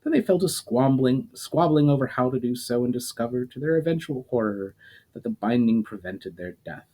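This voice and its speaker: A man's voice: medium pitch, expressive, normal speed, mellow, voice pushed up, natural voice. A man reading a story aloud, voicing an ancient, scornful immortal demon who lectures coldly and derisively. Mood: cold lecturing.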